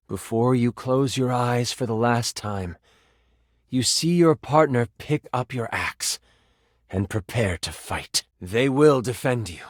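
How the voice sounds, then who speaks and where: Casting: theatrical fantasy game master, highly expressive delivery, conversational asides, dry studio sound. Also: male voice, no echo. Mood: solemn. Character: animated personified twenty-sided die running a fantasy game as game master. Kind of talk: dramatic tabletop fantasy narration with improvised asides.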